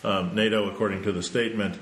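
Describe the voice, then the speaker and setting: A man with a low voice reads through news items in a relaxed way. He breaks off now and then to joke about them. The room sounds echoey, with a faint hum behind him. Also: quick pace. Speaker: news podcast host. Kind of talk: casual news roundup with banter.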